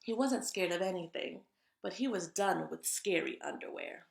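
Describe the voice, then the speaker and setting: A woman reads a picture book aloud in an echoey room. Her voice has a medium pitch with a noticeable creak. She is expressive and breaks off to chat directly with young listeners. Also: moderate pace, slight background noise. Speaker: read-aloud storyteller. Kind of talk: animated children's picture-book read-aloud with chatty asides.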